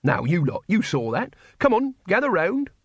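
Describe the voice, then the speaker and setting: A man's voice: wildly swooping pitch, moderate pace, husky, dry recording, highs cut off, exaggerated voice. A man voicing an exasperated older male crook teaching a young thug, scolding and theatrical. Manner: scolding, theatrical, climbing high in pitch. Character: exasperated older male street-crime tutor.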